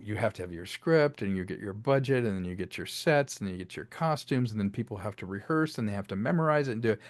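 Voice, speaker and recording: Man, mid-pitched voice, animated, acts out quotes, dry sound. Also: dry recording. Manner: animated, slips into voiced quotes, laughs between thoughts. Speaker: director and writer discussing his audio drama. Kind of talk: casual interview chat.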